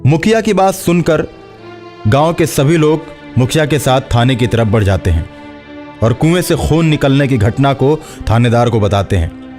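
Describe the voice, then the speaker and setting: A man's voice: mid-range voice, expressive, moderate pace, a little mellow, faint music behind. A man narrating a scripted horror story.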